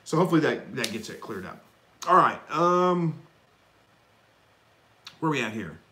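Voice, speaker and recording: A man hosting a live stream, reading viewer questions and answering in a relaxed, folksy, rambling way. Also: medium pitch, moderate pace, echoey room, slight background noise. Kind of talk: casual live chat Q&A.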